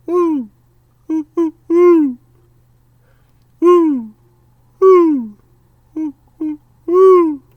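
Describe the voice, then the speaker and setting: A man imitating a great horned owl with drawn-out, repeated hoots in a storybook reading. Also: very high voice, no room sound, narrow, tinny audio, voice pushed up, exaggerated voice. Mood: loud calling. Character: hooting great horned owl call, loud and high.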